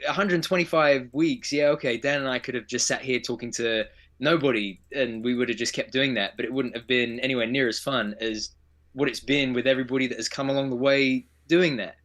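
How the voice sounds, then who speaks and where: A man's voice: medium pitch, a bit bright. Earnest man, heartfelt co-host. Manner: earnest, heartfelt, rushing on in long sentences. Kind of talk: casual live stream chat.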